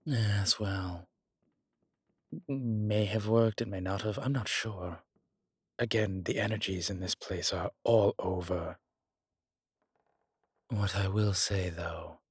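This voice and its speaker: A man performs a world-weary male demon in an intimate horror roleplay. He talks very slowly in a lowish voice, picking through his thoughts with hesitant, dry wit. The sound is close and dry, as if speaking to one companion. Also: slightly rough, no room sound, full character voice. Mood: curious, musing. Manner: hesitant, measured, musing delivery with dry humour. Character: wry, world-weary male demon investigating a haunted house.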